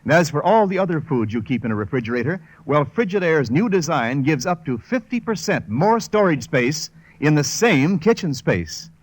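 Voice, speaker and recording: Male vintage radio announcer: slightly high, swooping intonation, polished emphatic sponsor read. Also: very animated, normal speed, dry recording.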